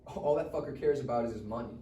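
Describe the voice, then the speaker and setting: A man's voice: mid-range voice, fast talker, mellow, close to an everyday voice. A man playing a cocky, bitter, foul-mouthed rich schoolboy with a sarcastic manner in a live table read. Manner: sarcastic, wisecracking. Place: live reading event in a room. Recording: echoey room, distant mic, faint noise.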